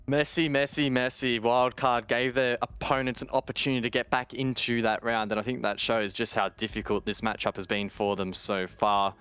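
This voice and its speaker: A man's voice: medium pitch, flat delivery, moderate pace, hardly any pauses, a bit bright, clean tone, dry recording. A man giving colour commentary on an esports match, analytical and wry, teasing his co-caster.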